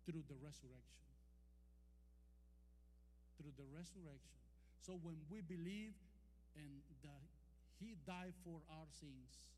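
A man's voice: on the high side, mellow. A man from Costa Rica with a Costa Rican Spanish accent, preaching a sermon and calling for amens from the congregation. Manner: calls and responses, drawn-out emphatic scripture quotes. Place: church hall. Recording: long hall reverb, faint hum.

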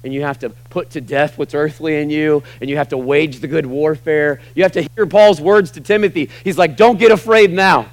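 A middle-aged American man preaching a sermon to a church congregation, emphatic, moving between loud, punchy lines and quiet, low asides. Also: moderate pace, few pauses, poor audio. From United States. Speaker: pastor. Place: church sanctuary.

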